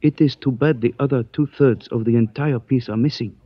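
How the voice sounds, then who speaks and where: A man's voice: medium pitch, no echo, close to an everyday voice. A man playing a cryptic, courtly antique dealer. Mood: cryptic, courteous. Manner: stilted formal phrasing, measured and evasive. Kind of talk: 1940s radio acting. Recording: dull dry old radio recording.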